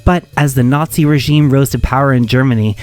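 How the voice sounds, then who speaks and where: A man's voice: mid-range voice, brisk. A man hosting a radio show, reading a scripted introduction. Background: music.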